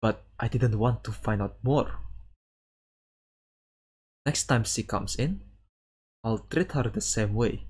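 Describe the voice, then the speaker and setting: Slow-paced man reading game text aloud. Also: lowish voice, a little mellow, husky. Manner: halting, stumbles and repeats words while reading. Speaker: streamer playing a visual novel.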